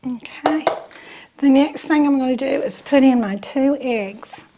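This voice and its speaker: A woman with a Southern US accent and a slightly raspy, bronchial voice, chatting casually as she talks through a home cooking demonstration. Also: high voice, measured pace, mellow, a little hiss or hum, low-quality recording. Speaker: host of a home cooking channel.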